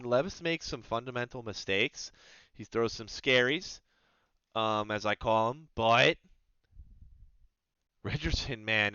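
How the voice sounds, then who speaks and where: Low-voiced man reacting to a mock draft. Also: clear, resonant tone, no room sound, lo-fi sound. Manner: animated, reads passages aloud then reacts with quick asides. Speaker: sports video host. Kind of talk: casual reaction commentary reading a mock draft.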